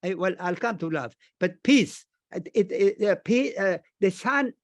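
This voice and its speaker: A man panelist giving reflective closing remarks like a blessing, halting and often repeating words and phrases. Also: sits high, animated, no room sound, clean studio sound. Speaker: scholar, panelist.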